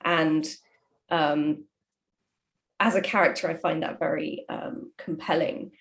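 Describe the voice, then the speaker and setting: A woman, a writer, talking casually and explaining her craft with animated emphasis. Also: sits low, slow, gravelly. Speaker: audio drama writer. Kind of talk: casual discussion of writing.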